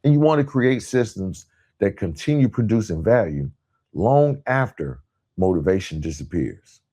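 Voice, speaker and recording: A man hosting a podcast, delivering a motivational monologue in a measured, emphatic way with rhetorical repetition. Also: lowish voice, sing-song, dark tone, breathy edge. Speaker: podcast host.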